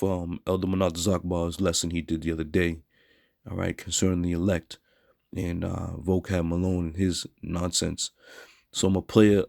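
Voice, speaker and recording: Hoarse guy preaching, real deep voice, blunt and scornful, keeps saying all right. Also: darkish tone, dry recording, clean studio sound. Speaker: teacher. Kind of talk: street preaching scripture lesson.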